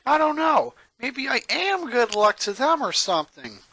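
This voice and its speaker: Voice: sits high, very animated, measured pace, pushed and bright, voice pushed up, heavily acted. A narrator voicing a weary, frustrated preteen boy in a cartoon fan-fiction reading. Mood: frustrated, whiny.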